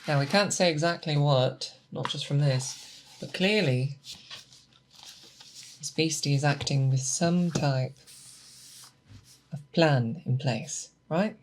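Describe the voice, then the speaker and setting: Performer acting monster hunter mentor, ASMR roleplay, slow-paced, methodical, patient, deliberate, gently questioning. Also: medium pitch, a little hiss or hum. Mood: calm, focused. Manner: unhurried, deliberate, coaxing with questions. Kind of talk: ASMR roleplay, scripted character monologue.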